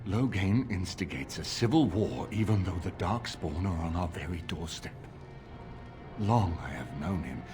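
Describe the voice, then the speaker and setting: An actor playing a grave, authoritative middle-aged lord in a video game, speaking in a formal, measured way with a husky voice. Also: male voice, deep voice, animated, music in the background. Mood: stern, resolute. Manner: formal, measured, stately delivery. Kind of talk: dramatic video game voice acting.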